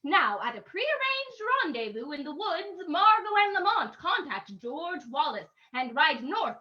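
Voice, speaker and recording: Female narrator for radio play: high, animated, scripted delivery, dull lo-fi sound. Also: unhurried. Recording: dull lo-fi recording.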